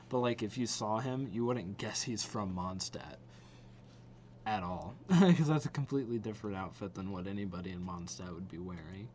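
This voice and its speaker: A man's voice: on the low side, darkish tone, slight background noise. A young adult man chatting casually as a reaction video host, slangy and thinking aloud. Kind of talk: casual chat, reacting to game trailers.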